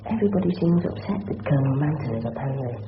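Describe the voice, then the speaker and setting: A teenage English schoolboy, well-mannered yet privately knowing and anxious. His voice is very animated, in an echoey, noisy old radio drama recording. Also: fairly high pitch, very fast, narrow, tinny audio. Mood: musing, confiding. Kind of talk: 1970s British radio drama acting.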